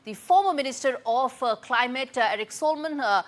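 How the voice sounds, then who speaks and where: A woman anchoring a TV news segment, briskly framing a story and questioning a guest. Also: high voice. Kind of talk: news interview hosting.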